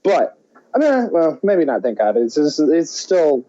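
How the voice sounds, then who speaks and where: A man co-hosting a podcast in a casual, halting film chat, often restarting his thoughts. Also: a little high, very animated, dark tone, lo-fi sound. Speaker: podcast co-host.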